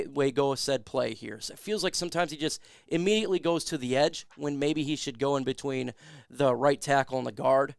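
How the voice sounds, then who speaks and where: A man's voice: medium pitch, creaky, smooth, dry recording, clean studio sound. A man hosting a casual sports talk show who is losing his voice, speaking emphatically and reading out viewer questions. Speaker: podcast host.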